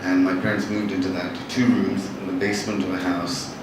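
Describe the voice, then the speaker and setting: A man, a novelist giving a live talk to an audience in a wry, chatty, halting way, with frequent restarts. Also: low voice, flat delivery. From born in Bangladesh, raised in London. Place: large hall with audience. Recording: echoey hall from far off, noisy.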